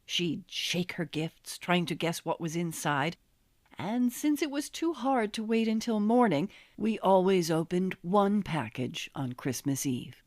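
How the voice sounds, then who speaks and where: Low-voiced American woman narrating, very dry sound. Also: a little slow, dry recording. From Los Angeles, USA. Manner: wry, expressive storytelling. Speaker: author reading own memoir. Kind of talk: audiobook memoir narration.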